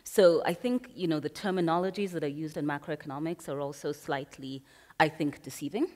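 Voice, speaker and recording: A woman's voice: low-pitched, steady tone, a little fast, darkish tone. A woman from Kenya speaking as a panelist at a conference, earnest and emphatic, thinking aloud. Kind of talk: panel discussion. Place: conference hall. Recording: reverberant conference hall.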